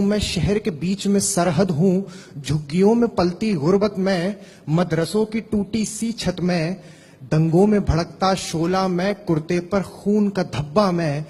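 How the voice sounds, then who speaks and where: Guy reciting a poem, slow steady rhythm, kinda husky, big hall echo. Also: fairly high pitch. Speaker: poet. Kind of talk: poetry recitation. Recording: long reverb of a hall.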